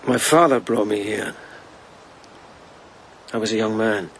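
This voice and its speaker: An actor playing a reserved young lieutenant in a period radio drama, speaking in a terse, understated way. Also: male voice, on the low side, unhurried, fairly bright tone, a little hiss or hum. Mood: wistful. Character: reserved, wistful young lieutenant, a widower bent on vengeance.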